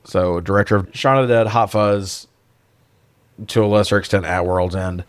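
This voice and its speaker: Male podcast co-host, low voice, dry close sound, wry and rambling, loose film chat with swearing. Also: steady tone, normal speed, no room sound. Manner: informal, wry, rambling asides. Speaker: podcast co-host reviewing films.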